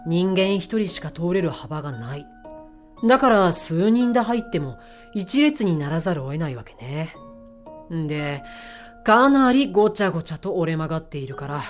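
A woman plays a sharp-tongued leader of a secret mission in an audio drama. The voice sits on the low side and the delivery is assertive and commanding, with feminine turns of phrase. Faint music plays behind. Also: lightly acted.

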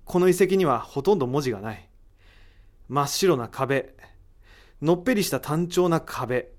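A man playing a thoughtful, analytical character in a voice drama, deliberate and explanatory, posing rhetorical questions. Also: fairly high pitch, lively intonation, lightly acted. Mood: explaining, intent. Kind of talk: amateur voice drama acting.

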